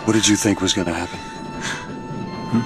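Man as low-voiced, gruff hired gun. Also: fairly even intonation, a little fast, over music, lightly acted. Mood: blunt, stern. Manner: terse, hard-bitten. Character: tough, gruff gun-for-hire man, impatient and blunt.

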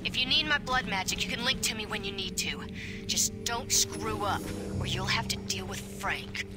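A woman's voice: mid-range voice, normal speed, over loud music, lightly acted. A woman playing a hard-bitten, intense soldier in a video game, with a terse, tough manner. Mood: bitter, intense. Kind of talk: dramatic video game acting. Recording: voice under loud score.

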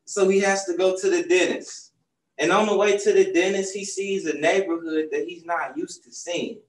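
A man's voice: sits high, moderate pace. A young man, a children's book author, talking casually through a face mask while seated in a bookstore. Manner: relaxed, conversational, speaks through a face mask. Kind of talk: casual storytime talk to kids. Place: bookstore, seated on a stool among shelves. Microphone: far camera. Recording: roomy distant sound on a video call.

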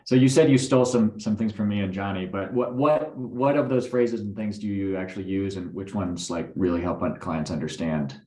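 A man with a fairly low voice moderates a video call panel. His questions come with small restarts, and the sound is roomy and echoey. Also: normal speed, hardly any pauses, darkish tone. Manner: asks questions with hesitant restarts.

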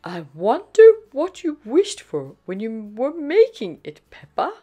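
A woman's voice: on the high side, huge pitch swings, unhurried, mellow, faint music behind, pitched above the performer's own voice, full character voice. A woman voicing a kindly, motherly pig in a children's storybook, encouraging and lilting. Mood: pleased.

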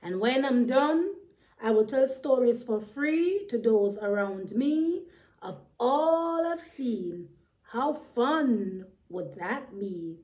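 A woman's voice: a little high, expressive, mellow, rough recording. A woman voicing a wistful young rat in a children's storybook, dreamy and rhyming. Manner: dreamy, slow, rhyming delivery.

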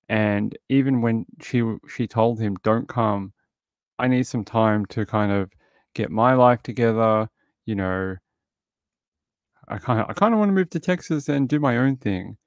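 Middle-aged male streamer, dry close sound, gravelly, chatty recap host. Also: mid-range voice, a little slow, a little mellow, no echo. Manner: chatty, greets viewers, recaps and reads articles aloud. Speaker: livestream host reviewing a true crime show. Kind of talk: casual livestream commentary.

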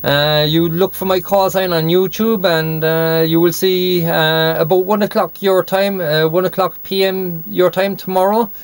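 A man's voice: sits high, steady tone, unhurried, few pauses, smooth. An Irish man from Cork chatting as a CB radio operator, repeating and spelling out words in radio phonetics. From Ireland. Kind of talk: radio contact chat. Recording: noisy CB radio shack recording.

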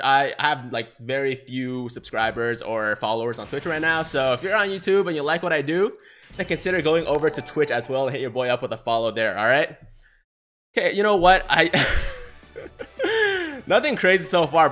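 A young man from China, a native Mandarin speaker, excitedly streaming live gaming commentary on a headset and reading chat aloud. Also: a little high, a little fast, soft background music. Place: small room, seated in gaming chair.

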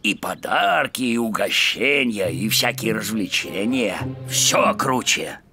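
A man's voice: lowish voice, lively intonation, slow pace, vocal fry, sharp tone, faint music behind, heavily acted. A man voicing a kindly, patient old grandfather bee in a children's cartoon. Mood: patient, fond.

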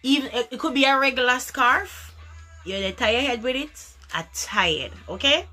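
A Jamaican woman vlogger talks casually to her camera in a small room, with a bright, punchy voice of average pitch. She is animated and emphatic, repeating words for effect and dipping into patois. Faint music plays underneath. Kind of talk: casual chat to camera, explaining dialect words. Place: small furnished room indoors.

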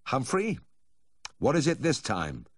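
A man's voice: mid-range voice, wildly swooping pitch, unhurried, no room sound, studio quality, pitched above the performer's own voice. A man voicing a weary, exasperated country vet in a storybook, with emphatic, clipped delivery.

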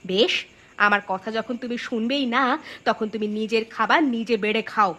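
A woman's voice: on the high side, normal speed, sharp tone. A woman playing a wronged, defiant wife in a cartoon melodrama, protesting with open emotion. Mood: pleading defiance. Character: aggrieved, defiant wife of a drunkard. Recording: music behind the voice.

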